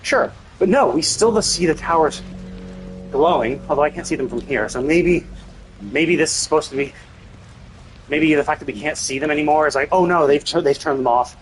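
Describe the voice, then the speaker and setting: A man with a slightly high voice rants casually over a game stream. He is exasperated and emphatic, swearing freely, and there is some light background noise. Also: moderate pace, poor audio. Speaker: livestreamer. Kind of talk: casual gameplay commentary rant.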